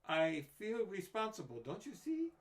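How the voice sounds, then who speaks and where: Fussy, eccentric tiny scientist lady with an odd soft accent, voice pitched up high, a man reading her. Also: wildly swooping pitch, unhurried, heavily acted. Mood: earnest. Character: eccentric little female scientist, fussy and dotty.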